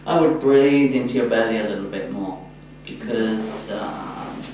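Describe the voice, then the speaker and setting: A man's voice: on the low side, darkish tone. Encouraging man teaching in an echoey room. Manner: encouraging, halting. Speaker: yoga teacher trainer. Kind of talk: spoken feedback in a group class. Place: echoey indoor room, from a distance. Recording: echoey room, distant mic, faint noise.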